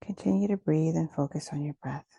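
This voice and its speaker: Low, husky woman guiding a meditation. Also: no echo, dull audio. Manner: gentle, soothing, unhurried instruction with pauses. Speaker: meditation guide. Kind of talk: guided meditation.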